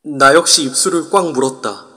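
A man's voice: fairly high pitch, a little fast, pushed and bright, long reverb tail. An actor narrating in the first person as a tense young male player in an audio drama. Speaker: narrator and lead character.

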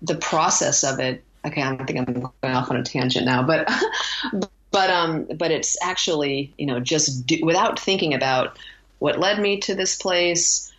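A woman's voice: sits low, normal speed. A woman speaking as an interview guest in casual, reflective chat, rambling and interrupting herself, on slightly muffled audio.

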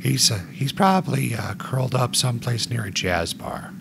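A man in his forties with a gravelly voice, hosting a joking podcast chat. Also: mid-range voice, very animated, moderate pace, very noisy. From United States. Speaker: podcast host.

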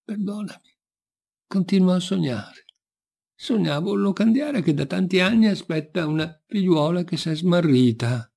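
A man's voice: high voice, expressive, normal speed, creaky, darkish tone, voice pushed up, heavily acted. A man voicing an ogre's sly young wife in a folk tale, plaintively recounting pretended dreams. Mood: coaxing, dreamy.

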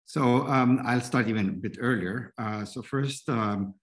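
A man in his fifties who grew up in Egypt, answering panel questions over a video call in a halting way, full of restarts. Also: mid-range voice. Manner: halting, with many restarts and fillers. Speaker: panel guest, investor. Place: indoor room at home.

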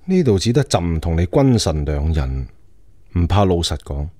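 A man playing a stern, suspicious emperor in a radio drama, speaking in a measured, imperious manner. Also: sits low, sing-song, warm tone, no room sound, clean studio sound. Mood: calm, probing. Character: Qing emperor, suspicious, stern, commanding ruler. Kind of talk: Cantonese radio drama acting.

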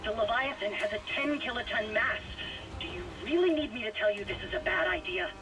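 A woman's voice: mid-range voice, lively intonation, a little fast, noisy background, close to an everyday voice. A woman acting an earnest, worn-down scientist survivor in dramatic video game style. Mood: exasperated. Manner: earnest, urgent. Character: devout, worn-down female scientist survivor aboard a doomed spaceship. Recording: distant comms call under loud music.

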